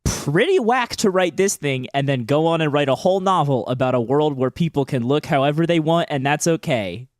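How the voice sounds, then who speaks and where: A man's voice: on the high side, expressive, brisk, few pauses, dry recording. A young man co-hosting a comic podcast, cracking crude, jokey asides. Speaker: podcast co-host.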